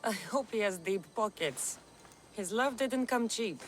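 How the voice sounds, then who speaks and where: A woman's voice: mid-range voice, lively intonation, a little slow, slight background noise, natural voice, low-quality recording. An actress playing a tough, wry female mercenary in a video game, blunt and dry in manner. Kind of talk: video game cutscene acting. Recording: music behind the voice.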